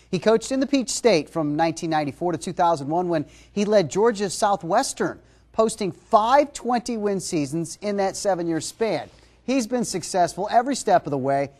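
A middle-aged man anchoring sports news in a TV studio, reading scripted stories and calling highlights. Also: darkish tone.

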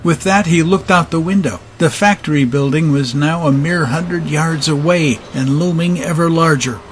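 A man's voice: fairly high pitch, moderate pace, a little hiss or hum, close to an everyday voice. A man narrating a steampunk adventure audio drama as a scripted, dramatic storyteller. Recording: music under the narration.

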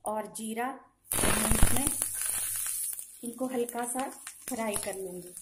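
A woman calmly talking through a home cooking recipe step by step, in a patient, instructive way. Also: on the high side, slow, very noisy. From Himachal Pradesh, India. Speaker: home cooking channel host. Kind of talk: step-by-step cooking demonstration voice-over. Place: home kitchen.

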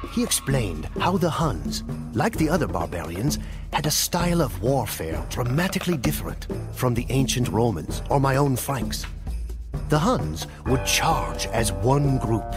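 A man reading a scripted historical tale as a storyteller, in a measured, solemn, dramatic way. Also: mid-range voice. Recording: music under the voice. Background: music.

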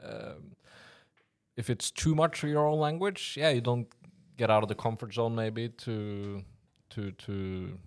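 A man hosting a podcast interview, talking in a casual, halting way with many restarts. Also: mid-range voice. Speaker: podcast host. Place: glass-walled office studio. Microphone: desk podcast mic with headphones.

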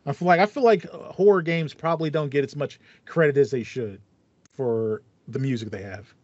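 A man's voice: medium pitch, moderate pace, a little mellow, no echo. A man in his thirties hosting a podcast in casual chat, thinking aloud and repeating phrases as he goes. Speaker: podcast host. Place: small home room with foam panels, indoors. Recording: dull, lo-fi voice call sound.

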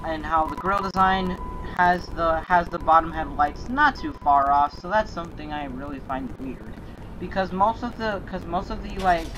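A host of a casual gaming car review talks with a group in a halting way, often repeating phrases. The pitch is medium, and faint car and game noise sits behind the voice. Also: muffled recording. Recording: noisy game and vehicle sounds.

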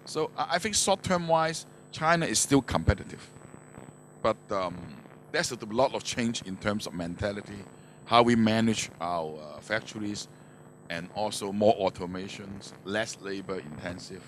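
A man's voice: fairly low pitch, moderate pace. An older man explains business matters from a conference stage. His English has non-native phrasing and small grammatical slips. The handheld microphone recording carries faint background noise. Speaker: manufacturer speaking as conference panelist. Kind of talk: panel discussion, explaining business trends. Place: conference stage, indoors, before an audience. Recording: noisy handheld mic in conference hall.

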